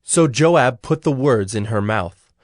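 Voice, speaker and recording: A man narrating scripture from a script in a measured, solemn storytelling manner. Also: medium pitch, lively intonation, no echo, clean studio sound.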